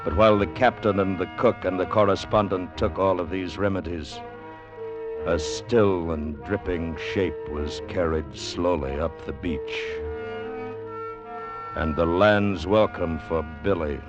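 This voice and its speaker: A man playing a grave storyteller, narrating a 1950s radio drama in a solemn, dramatic, measured way. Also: low-pitched. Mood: somber. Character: grave male storyteller of adventure and doom. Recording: dull vintage radio, music behind.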